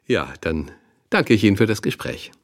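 Low, polite interviewer. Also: male voice, sing-song. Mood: courteous. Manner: courteous, measured. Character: polite male radio interviewer. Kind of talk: radio drama acting.

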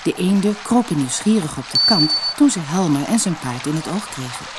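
A woman narrating a fairy tale audiobook in an expressive, measured, dramatic storybook style. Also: low-pitched, very noisy.

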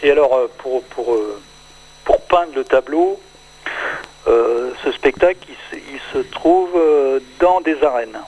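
An older man, a singer-songwriter, talking in a relaxed radio interview, hesitant and full of fillers. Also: mid-range voice, rough recording. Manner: hesitant, many pauses and fillers.

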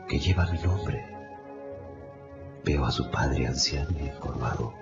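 An actor playing a serene, noble condemned lawyer, delivering a grave, prophetic monologue in a radio drama. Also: male voice, a bass voice, creaky, full character voice. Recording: dull recording, loud music behind.

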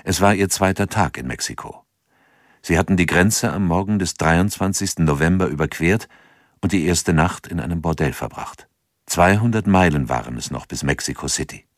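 A man giving sober, measured scripted narration for a radio play. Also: deep voice, no echo, studio quality.

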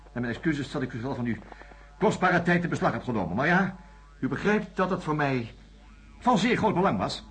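A stern, irascible male doctor in a science fiction radio drama. His voice is high for a man and very husky, swinging widely in pitch. He speaks curtly and formally, with biting sarcasm. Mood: coldly polite. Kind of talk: Dutch science fiction radio play acting.

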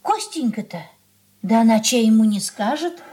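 An actress playing an elderly merchant's wife in a Soviet radio play, caring and fussing, with homely colloquial speech. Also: female voice, fairly high pitch, expressive, normal speed, mellow, close to an everyday voice. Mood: gentle coaxing.

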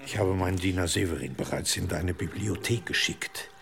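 An actor playing a stern, scheming high-ranking medieval churchman in a German radio drama, measured and commanding. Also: vocal fry. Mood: hushed, demanding.

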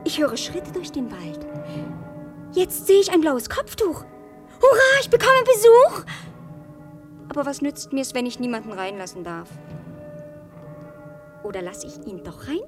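Naive chatty little princess, girlish and earnest, really high swoopy voice, kids radio play. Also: big pitch swings, unhurried, long pauses, music in the background. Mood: lonely, hushed, then excited. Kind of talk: children's fairy-tale radio play acting.

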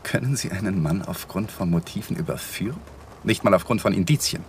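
An actor playing a cornered, defensive young lawyer in a radio drama, agitated and hesitant, at times breathy. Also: male voice, sits low, expressive, fairly quick, a little hiss or hum. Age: young adult. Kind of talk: 1980s German radio drama acting.

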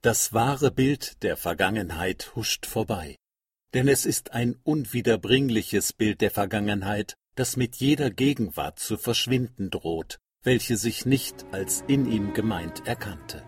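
A man giving a scripted literary reading of quotations in a measured, declarative manner. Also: lowish voice, expressive, slightly rough, no echo, studio quality, faint music behind. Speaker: reader of quotations. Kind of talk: literary reading of quoted texts.

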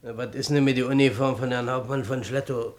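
An actor playing a plain-spoken tailor's assistant in a 1950s German radio drama, colloquial and a bit grumbling. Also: male voice, medium pitch, fairly even intonation, brisk, lightly acted.

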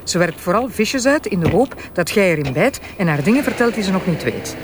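A woman's voice: mid-range voice, sing-song, fairly quick, natural voice. A sensible Flemish woman, played by an actress in a radio drama. She sounds familiar and reasonable with a chiding edge, over a faintly noisy ambience. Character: sensible, candid woman reining in her stubborn companion.

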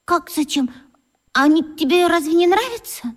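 An actress playing a boastful, excitable little schoolgirl in a children's radio play. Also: very high-pitched, normal speed, in a large hall. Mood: proud, eager. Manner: gushing, showing off.

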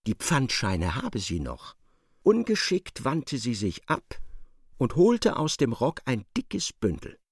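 A man giving expressive, scripted literary narration in a radio play. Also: mid-range voice, takes it slowly, breathy edge, dry recording, studio quality.

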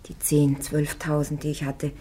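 An actress playing a devout young woman warrior on trial, answering her judges firmly and calmly in a radio drama. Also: deep voice, a little slow. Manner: firm, calm, defiant answers.

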